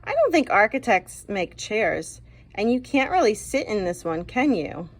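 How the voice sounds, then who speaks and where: A woman voicing a critical old grandfather in a children's storybook, hemming and measured as he doubts what he is shown. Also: medium pitch, sing-song, dry recording. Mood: doubtful.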